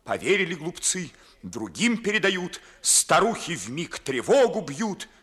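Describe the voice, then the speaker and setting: A proud, wounded young gentleman declaims in slow, theatrical verse. His voice is very husky and rises and falls widely with bitter passion, in the manner of 1950s stage radio drama. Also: a little high, very animated, exaggerated voice. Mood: indignant, bitter. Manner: declamatory, agitated, theatrical monologue. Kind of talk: 1950s Russian classical radio theatre acting.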